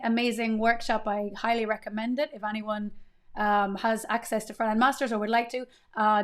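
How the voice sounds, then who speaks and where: Woman, thirties, fairly high voice, chatty live coding host, slightly strained recovering voice. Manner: chatty, upbeat, greets chat viewers. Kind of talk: casual live coding stream.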